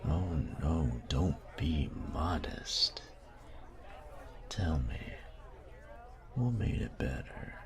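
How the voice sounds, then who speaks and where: A man playing a cocky, taunting, domineering young bully in an intimate audio roleplay, speaking in a breathy, gravelly half-whisper with slow, teasing menace. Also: very deep voice, expressive, a crowd in the background, full character voice. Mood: smug teasing. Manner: slow, teasing, menacing delivery with drawn-out pauses.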